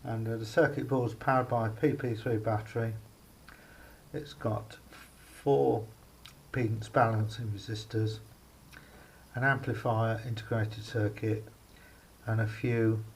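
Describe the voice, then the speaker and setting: A man giving a casual, unscripted hands-on demonstration as a hobbyist, speaking haltingly with pauses in mid-phrase. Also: mid-range voice, vocal fry, a little mellow. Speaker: hobbyist showing a homemade radio aerial project. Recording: faint background noise, amateur camera recording.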